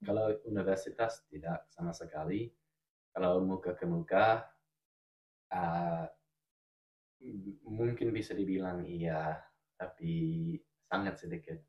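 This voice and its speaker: A man's voice: sits low, roomy sound, dull audio. A man in his twenties, a native English speaker with a cold, chatting carefully on a podcast as co-host. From Australia. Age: 20s. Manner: careful, deliberate speech as a learner. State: has a cold. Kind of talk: casual podcast chat.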